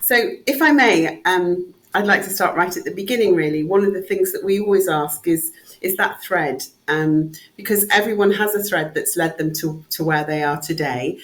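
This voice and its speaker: A woman's voice: lowish voice, moderate pace, fairly bright tone. A woman hosting a podcast, reading a polished introduction and asking interview questions. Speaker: podcast host.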